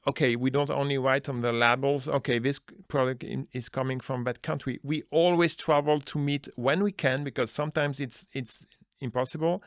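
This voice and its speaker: Earnest man with a gravelly voice. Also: medium pitch, fairly bright tone, no echo, clean studio sound. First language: Dutch. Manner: earnest, searching for words, many restarts. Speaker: business manager, interview guest. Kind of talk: conversational interview answers.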